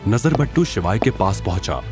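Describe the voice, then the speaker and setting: A man with a low voice narrates a dramatic audio story. His delivery rises and falls in a lively, scripted storytelling style, with music playing underneath. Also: normal speed.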